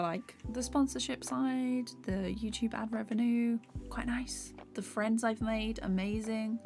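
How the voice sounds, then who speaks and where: Young female streamer, slightly hoarse, soft rambling chat over faint music. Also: medium pitch. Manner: rambling, filler-heavy, soft-spoken. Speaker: animator streaming while drawing. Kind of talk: casual live-stream chat while working. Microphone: desktop USB microphone. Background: faint background music.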